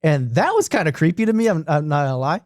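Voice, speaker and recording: A man's voice: fairly high pitch, big pitch swings, quick pace, darkish tone, no room sound, clean studio sound. A man hosting a podcast, retelling a story casually with plenty of slang and swearing, acting out the dialogue. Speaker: podcast host retelling a horror series. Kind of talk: casual comedic retelling with loose acted-out dialogue.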